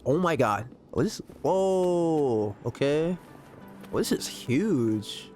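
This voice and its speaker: A man giving casual, slangy live reaction commentary while playing a video game, exclaiming excitedly. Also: a little high, slow pace. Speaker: gaming content creator reacting to a story quest. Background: video game music.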